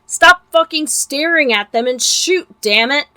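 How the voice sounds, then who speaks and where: A woman voicing a panicked, foul-mouthed male kidnapper who yells orders in a story reading. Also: sits high, expressive, unhurried, dry recording, voice pushed up, exaggerated voice. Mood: panicked yelling.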